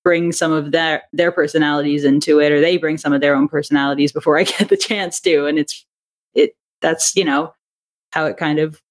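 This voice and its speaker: A woman in a casual interview chat, talking in an animated way and acting out bits of quoted thought as she explains her creative work. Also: deep voice, a little fast. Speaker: interview guest, audio drama writer and director.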